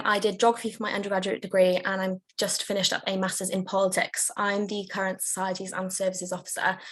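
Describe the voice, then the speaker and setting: A woman's voice: mid-range voice, fairly bright tone. A woman in her twenties introducing herself as a student election candidate, fluent and earnest, on a video call. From Wales. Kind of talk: campaign self-introduction.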